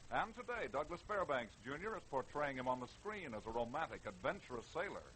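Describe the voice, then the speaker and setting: A man's voice: medium pitch, very animated, fairly quick, a bit bright. A man narrating a scripted radio programme in dramatic 1940s style, on a noisy vintage recording.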